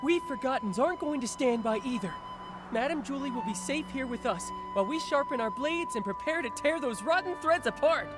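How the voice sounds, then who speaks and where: Spirited young rebel, high-pitched, emphatic, bold, excitable, game cutscene with music. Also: female voice, fairly quick. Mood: defiant. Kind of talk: video game story dialogue. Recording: background music under the voice.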